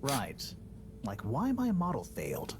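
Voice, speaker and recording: An actress playing a stubborn researcher who argues defensively for a failed model. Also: female voice, a bass voice, huge pitch swings, measured pace, darkish tone, slight background noise, heavily acted. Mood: indignant. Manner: defensive and argumentative.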